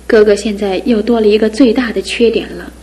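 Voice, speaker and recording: A woman's voice: medium pitch, steady tone, a little fast, mellow, husky, natural voice. An actress playing a skeptical young woman in a studio radio drama, speaking in a questioning, wistful way. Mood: doubtful. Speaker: fiancé's sister.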